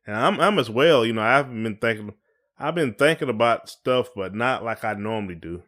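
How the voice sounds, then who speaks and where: A man's voice: mid-range voice, lively intonation, a little fast, fairly bright tone. A man co-hosting a podcast in casual conversation, with a folksy, colloquial way of talking. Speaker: podcast co-host.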